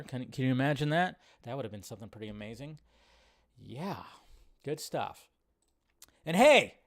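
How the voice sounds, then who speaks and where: A man hosting a live show, chatting casually and directly to viewers in a rambling, colloquial way. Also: on the high side. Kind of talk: casual livestream chat.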